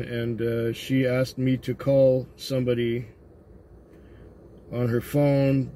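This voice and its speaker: A tired taxi driver talks casually from behind the wheel, his voice a little hoarse and congested. He rambles and pauses with fillers, and his pitch sits in a middle range for a man. The car is noisy, with clear engine and road sound behind him. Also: darkish tone. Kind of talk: casual vlog monologue. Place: inside a taxi at night. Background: car and road noise.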